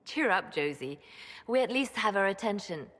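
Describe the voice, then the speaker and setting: A woman's voice: mid-range voice, lively intonation, moderate pace, fairly bright tone, long reverb tail. A woman playing a wry, unruffled colleague in a video game, with a dry teasing manner. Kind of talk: video game character dialogue.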